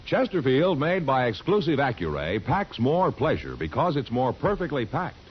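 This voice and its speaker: A man's voice: mid-range voice, huge pitch swings, normal speed. An American man announcing in a scripted 1950s radio style for program credits and sponsor ads. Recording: dull vintage radio recording. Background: loud music.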